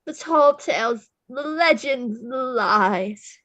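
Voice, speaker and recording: A woman's voice: on the high side, lively intonation, takes it slowly, fairly bright tone, no room sound, lo-fi sound, full character voice. A woman playing Jack, a theatrical skeleton man who fancies himself Santa, declaiming melodramatically at a script table read. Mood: dejected, mournful.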